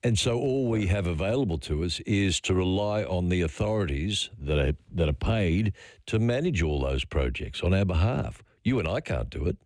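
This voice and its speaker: A man with an Australian accent hosting a talkback radio programme, measured and questioning in manner. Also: low voice, lively intonation, a little mellow, no room sound, studio quality. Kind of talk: live talkback interview.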